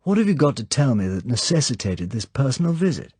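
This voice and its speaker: A man voicing a suave, villainous foreign count in an audiobook, with a light, animated voice and effusively courteous manner. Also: medium pitch, fast, husky, dry recording, pitched above the performer's own voice. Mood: effusive, genial.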